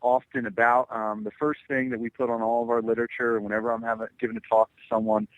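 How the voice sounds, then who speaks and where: A man's voice: mid-range voice, level tone, quick pace, no echo, poor audio. Young American man, dry close sound. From New Jersey, USA. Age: 20s. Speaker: congressional candidate. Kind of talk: interview answers, campaign talking points.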